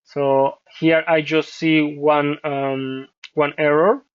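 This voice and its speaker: A man's voice: a little high, little pitch movement, smooth, no echo. Spanish-speaking man with a dry, dull-sounding voice. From Colombia. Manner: thinking aloud, measured, many fillers. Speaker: host reviewing software scripts. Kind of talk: screen-share tutorial commentary.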